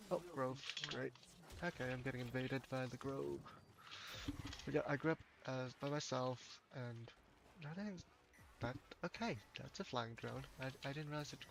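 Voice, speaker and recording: Gravelly man chatting while gaming. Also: medium pitch, normal speed, noisy background. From Hong Kong. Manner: chatty, laughs often, restarts words. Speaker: game streamer. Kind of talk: casual gameplay chat with viewers.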